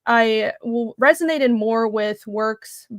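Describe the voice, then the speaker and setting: A woman's voice: on the high side, slow pace, no room sound, clean studio sound. A young woman from Taiwan talking about her comics in a casual interview, earnest and thoughtful with many fillers. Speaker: comic creator guest.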